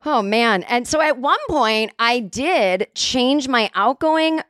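Raspy woman telling a profane comic story. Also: a little high, takes it slowly, no echo, studio quality. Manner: profane, animated, acts out quotes. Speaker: comedian and podcast host. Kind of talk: casual comedic storytelling.

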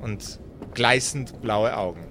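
A man narrating an improvised fantasy tabletop audio play as game master, deliberate, pausing between phrases. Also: fairly low pitch, a bit bright, a little hiss or hum.

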